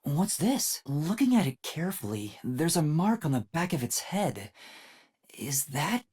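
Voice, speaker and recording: A man's voice: a little high, sing-song, measured pace, darkish tone, breathy edge, heavily acted. A man voicing an earnest, timid young male detective in a video game, with a light boyish voice and a hesitant, thoughtful way of thinking aloud. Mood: pondering. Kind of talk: video game voice acting, investigative monologue.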